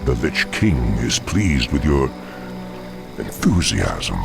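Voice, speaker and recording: Very deep, gravelly scheming demon lord, wide-swinging, smug, game cutscene with score behind. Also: male voice, very animated, exaggerated voice. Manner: slow, condescending, gravelly. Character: menacing male demon dreadlord, scheming and smug. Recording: game cutscene over orchestral music.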